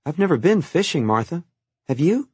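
A man voicing a shy, polite young boy who speaks gently. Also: a little high, sing-song, normal speed, slightly rough, no echo, pitched above the performer's own voice, exaggerated voice. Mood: timid. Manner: timid, polite.

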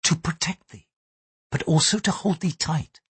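A man's voice: a little high, moderate pace, slightly rough, no echo, pitched above the performer's own voice. A man reading aloud, voicing a poised young Japanese noblewoman with a formal, archaic way of speaking. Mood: earnest. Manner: formal, archaic thee-and-thou speech, apologetic "so sorry".

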